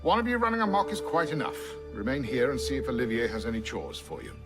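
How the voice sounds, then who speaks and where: An actor playing a stern but tolerant middle-aged gentleman in a video game, dry and authoritative. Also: medium pitch, very animated, fast. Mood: wry reproof. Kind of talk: video game cutscene acting. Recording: music behind the voice.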